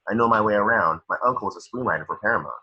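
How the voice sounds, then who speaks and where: Cool horror-film cop, played by a man at a table read: quick, forceful, husky, businesslike. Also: fairly low pitch, dull audio, full character voice. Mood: matter-of-fact. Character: cool, no-nonsense male police detective in horror film. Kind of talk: amateur table reading.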